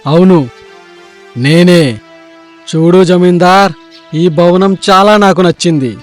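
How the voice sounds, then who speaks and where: A grasping, merciless king from a cartoon folk tale, acted by a man. His voice sits high for a man and speaks with imperious menace. Faint music runs underneath. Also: takes it slowly. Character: cruel, grasping conquering king.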